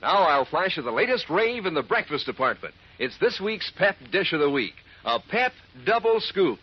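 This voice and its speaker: A man delivering a folksy, enthusiastic sales pitch as an announcer in a 1940s radio advertisement. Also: big pitch swings, moderate pace, heavy background noise. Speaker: commercial announcer. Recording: muffled old radio recording.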